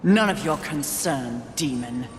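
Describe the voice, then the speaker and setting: A woman's voice: very deep voice, animated, unhurried, echoey room, long reverb tail, faint music behind. An actress playing a proud, short-tempered female warrior in a video game, curt, imperious and combative. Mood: defiant. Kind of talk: dramatic video game acting.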